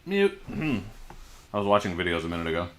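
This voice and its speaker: A man in his forties with a lowish voice hosts a relaxed livestream from his garage. He chats easily and reads out viewer comments as he answers them, close to a desk microphone. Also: brisk, music in the background. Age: 40s. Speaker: livestream host. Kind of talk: casual livestream chat. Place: home garage.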